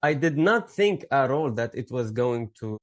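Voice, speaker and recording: A man from Syria in his thirties, with a mid-pitched voice, speaks as a podcast guest. He rambles through his story, stopping and restarting often and leaning on fillers. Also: very animated, moderate pace. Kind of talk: casual interview storytelling.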